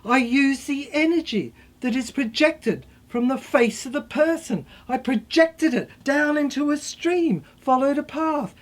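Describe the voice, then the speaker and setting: Woman playing mad scientist, high voice, slow pace, unhinged, obsessive, repetitive fragments, theatrical drama monologue. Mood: haunted, grandiose. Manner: stammering, repetitive, fragmented delivery.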